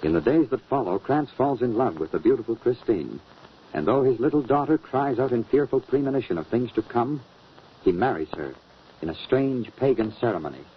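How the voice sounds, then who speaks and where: A man with a raspy voice announcing in polished, emphatic, dramatic 1940s radio style. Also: mid-range voice, lively intonation, a little fast, a little hiss or hum. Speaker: announcer, narrator. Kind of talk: 1940s radio announcing, scripted narration and sponsor pitch. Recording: muffled old radio recording.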